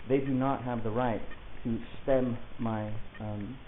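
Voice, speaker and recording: A man's voice: mid-range voice, fairly even intonation, slow pace, close to an everyday voice. A man playing a stubborn, articulate defendant in an audio play, arguing his case insistently and pedantically. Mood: defiant. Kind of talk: argumentative dialogue in an audio play. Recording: tinny phone-like sound with hiss.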